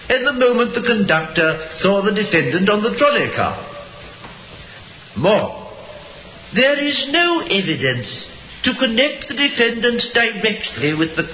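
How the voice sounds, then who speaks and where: An actor playing a solemn judge in a 1950s radio drama, speaking in formal, deliberate, emphatic phrases. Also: male voice, high-pitched, sharp tone, exaggerated voice. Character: solemn, emphatic male judge addressing jury and prisoner. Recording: echoey, noisy old radio recording.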